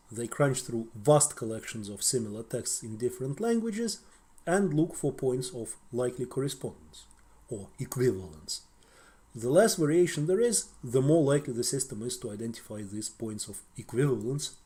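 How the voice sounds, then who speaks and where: Man, Russian accent, husky, mid-pitched, wry lecturing tone, rhetorical questions. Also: warm tone. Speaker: translator and lecturer. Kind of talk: explanatory video lecture.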